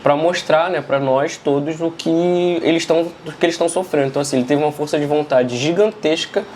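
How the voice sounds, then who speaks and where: A Brazilian man explaining the meaning of samba lyrics in a measured way, often tagging "né?". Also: on the high side, a little mellow, a little hiss or hum. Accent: Brazilian Portuguese. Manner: measured, explanatory, many "né?" tags.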